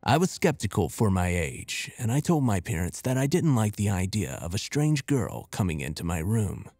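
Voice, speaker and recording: A man reading a scripted horror story in a measured, deliberate and expressive way. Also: on the low side, breathy edge, no echo, studio quality. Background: music.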